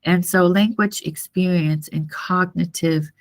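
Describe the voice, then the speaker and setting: A woman university lecturer, a native Mandarin speaker from Taiwan, explaining material to a class in a halting, repetitive way with frequent checks for understanding. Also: lowish voice, steady tone. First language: Mandarin Chinese.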